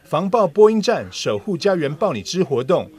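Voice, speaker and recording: A man's voice: a little high, big pitch swings, moderate pace, a little mellow, breathy edge. A man with a Taiwanese Mandarin accent reading scripted narration as a news reporter.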